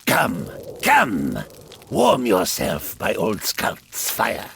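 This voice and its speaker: An actor playing a crafty old Norse wanderer in a game cutscene, theatrical and wheedling. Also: male voice, on the low side, wildly swooping pitch, drawn-out words, gravelly, a bit bright, a little hiss or hum, heavily acted. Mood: theatrical, foreboding. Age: older. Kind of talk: video game cutscene acting.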